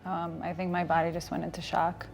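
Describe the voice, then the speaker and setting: A woman's voice: fairly low pitch, monotone, brisk, gravelly, a little mellow, soft background music. A distressed, tearful woman giving an emotional personal account as an interview guest.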